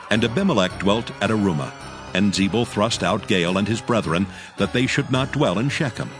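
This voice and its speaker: A man narrating scripture from a script, in a solemn, measured storytelling manner. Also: lowish voice.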